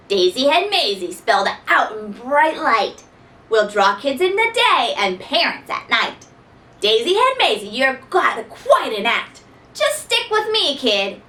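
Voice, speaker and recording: A woman voicing a slick storybook talent agent, a flattering wheeler-dealer with salesman patter. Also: fairly high pitch, roomy sound, far from the mic, exaggerated voice.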